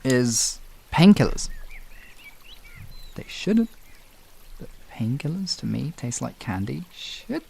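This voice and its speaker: A man's voice: medium pitch, big pitch swings, slow, creaky, long pauses, a little mellow, slight background noise. A man with a British accent hosting a livestream, chatting casually and rambling informally with some swearing. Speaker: livestream host. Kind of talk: casual chat with stream viewers.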